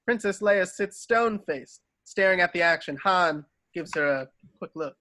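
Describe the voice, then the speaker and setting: Male narrator for a script read-through, slightly high voice, reading scene directions. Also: normal speed, pushed and bright.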